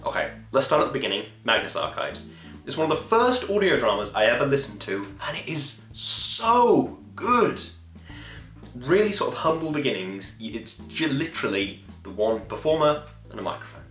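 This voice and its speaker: A man in his twenties rambles casually and enthusiastically at a desk in a small, echoey room. His voice is medium in pitch, and he talks in a chatty, self-deprecating way that often wanders off track, with music behind him. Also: sing-song, moderate pace, fairly bright tone. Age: 20s. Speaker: vlogger and podcast host. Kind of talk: casual rambling review to camera. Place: small home room, indoors.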